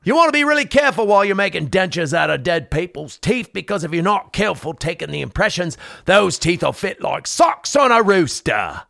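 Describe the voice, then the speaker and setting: A man's voice: sits high, very animated, brisk, vocal fry, few pauses, pushed and bright, no echo, clean studio sound, exaggerated voice. A man playing a cheerfully macabre quack dentist from old London, with a British accent, explaining in a mock-learned, matter-of-fact way in improvised comedy. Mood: breezy, macabre. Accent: British English. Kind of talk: improvised comedy role-play.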